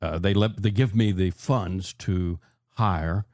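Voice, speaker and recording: A man's voice: deep voice, slow pace, darkish tone, no echo. An older man hosting a talk radio show in a chatty, folksy, conversational way from a close studio microphone.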